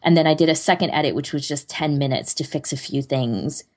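A woman's voice: low-pitched, fairly quick, a little mellow. A woman co-hosting a podcast, chatting casually in a rambling, self-deprecating way. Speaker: podcast co-host.

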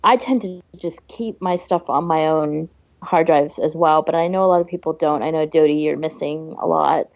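A woman's voice: deep voice, moderate pace, gravelly, a little mellow, no room sound. A woman co-hosting a podcast in casual chat, on a dry, slightly dull recording. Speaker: podcast co-host.

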